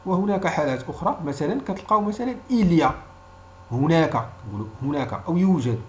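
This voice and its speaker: A man's voice: on the high side, lively intonation, fast, a little mellow, a little hiss or hum. A man with a Moroccan accent, a native Arabic speaker, giving an informal language lesson as a teacher, explaining didactically and repeating example phrases.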